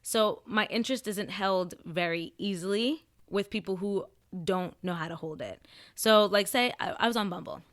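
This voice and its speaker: A woman's voice: mid-range voice, moderate pace, dry recording, studio quality. A woman in her twenties, a podcast host being interviewed, talking in a chatty, candid way and laughing often. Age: 20s. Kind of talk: casual chat.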